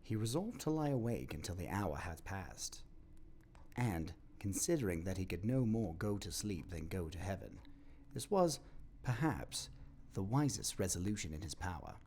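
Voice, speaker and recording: A man narrating a classic tale for an audio play, with expressive, measured phrasing and dramatic pauses. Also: sits low, a little hiss or hum. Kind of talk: dramatic scripted storybook narration.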